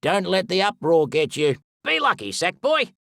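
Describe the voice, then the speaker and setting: A man playing a fussy, excitable and pompous explorer and wildlife expert in an animated video game, speaking theatrically with whimsical made-up words. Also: high voice, normal speed, gravelly, no echo, studio quality, exaggerated voice. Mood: cheerful farewell. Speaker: voice actor.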